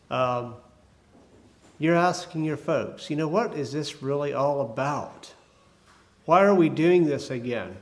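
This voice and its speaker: A man preaching a sermon, hoarse from a cold, talking in a folksy, halting way with many fillers. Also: medium pitch, a little mellow.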